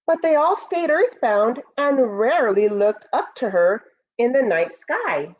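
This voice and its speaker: American school teacher lady reading a kids book, highish expressive voice, kinda dull lo-fi audio. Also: measured pace. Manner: expressive, addresses children directly. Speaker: elementary school educator. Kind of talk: children's read-aloud with asides to pupils.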